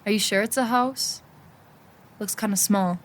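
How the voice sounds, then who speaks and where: A woman acting in an audio drama as a dry, sarcastic but loyal traveling companion with a deadpan manner. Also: medium pitch, moderate pace, a little hiss or hum, natural voice. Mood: wary. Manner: deadpan, sardonic.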